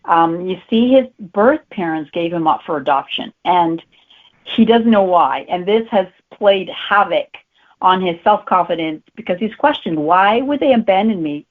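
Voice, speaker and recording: A woman's voice: on the low side, lively intonation, normal speed. A woman talking casually as an author being interviewed. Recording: tinny phone-like line.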